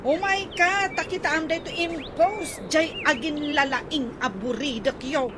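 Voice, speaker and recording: Actress doing ilocano nagging wife in radio comedy, really high voice, indignant, noisy with birds behind. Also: female voice, unhurried. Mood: urgent. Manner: exclaiming, indignant. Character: agitated nagging wife riled by family inheritance quarrel. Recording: noisy outdoor ambience with birdsong.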